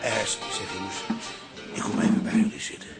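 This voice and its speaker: An actor playing an easygoing, teasing pub regular with a wry manner in a radio drama. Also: male voice, sits low, fairly even intonation, a little fast, creaky, loud music behind the voice.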